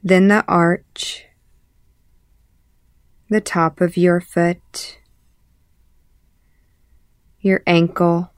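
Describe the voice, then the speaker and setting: Slow, smooth-voiced woman guiding meditation. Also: on the low side, no room sound, clean studio sound. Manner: calm, measured, pausing between short phrases. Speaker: meditation instructor. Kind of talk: guided body scan meditation.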